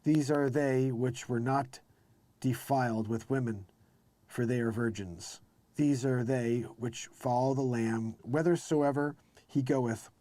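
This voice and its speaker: A man's voice: mid-range voice, fairly even intonation, slow, mellow, no echo. A man hosting a live read-along, reading scripture aloud in an emphatic, declamatory way and adding casual informal commentary. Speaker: live show host.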